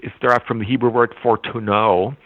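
A man whose first language is German, a literature scholar giving thoughtful, halting interview answers with frequent restarts. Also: medium pitch, steady tone, narrow, tinny audio. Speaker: literature scholar and anthology editor.

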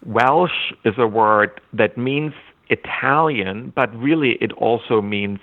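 A man whose first language is German, a literature scholar giving thoughtful, halting interview answers with frequent restarts. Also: medium pitch, narrow, tinny audio. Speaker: literature scholar and anthology editor.

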